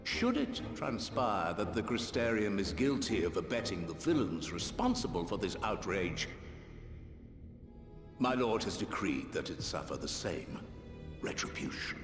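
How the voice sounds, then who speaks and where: Low, stern general, echoing. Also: male voice, expressive, measured pace. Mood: cold menace. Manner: grave, imperious, archaic formal phrasing. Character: stern, fatalistic older male army general. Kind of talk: dramatic video game cutscene acting. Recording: echoey hall reverb with music behind.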